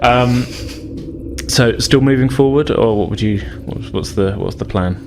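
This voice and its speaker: A man's voice: medium pitch, unhurried, background noise. A man narrating a tabletop role-playing game as game master, in loose, hesitant, conversational phrasing.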